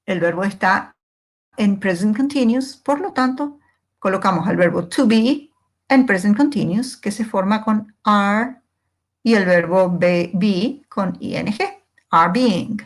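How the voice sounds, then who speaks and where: A woman's voice: mid-range voice, slow pace. A woman teacher giving a step-by-step grammar lesson in a didactic way, posing questions and answering them herself. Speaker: English teacher.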